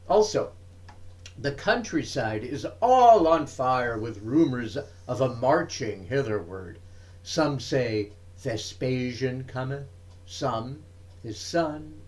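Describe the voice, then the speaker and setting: A man giving a dramatic reading of verse, in measured, deliberate phrases broken by pauses. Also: medium pitch. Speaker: reader of poetry.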